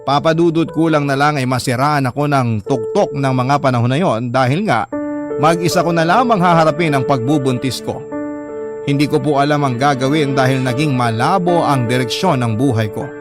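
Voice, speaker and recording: A man's voice: mid-range voice, normal speed. A man with a Filipino accent narrating a personal story in a dramatic, scripted radio style. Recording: music bed behind the voice.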